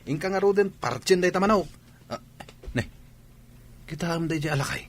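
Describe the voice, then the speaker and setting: A man's voice: a little high, expressive, normal speed. A man with a Filipino accent playing a lazy, scheming village drunkard in a comedy radio drama, brash and dismissive.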